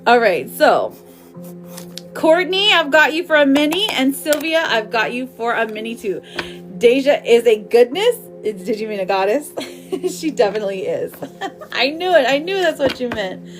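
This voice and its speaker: A woman's voice: sits high, animated, unhurried, long pauses, soft background music. A woman hosting a live tarot reading, chatty and informal, talking affectionately to her viewers. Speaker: tarot reader hosting a livestream. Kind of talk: casual live chat while reading cards.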